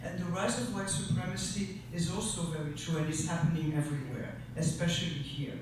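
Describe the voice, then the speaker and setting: A man in his sixties from Europe, a teacher speaking earnestly at a public forum, with passion and halting restarts. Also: fairly high pitch, fairly even intonation. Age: 60s. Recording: echoey room, distant mic, noisy.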